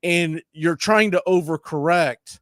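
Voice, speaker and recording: Sports stream host, male, slightly high register, opinionated and casual, often self-correcting, very dry audio. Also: measured pace, dry recording. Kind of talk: casual live sports talk.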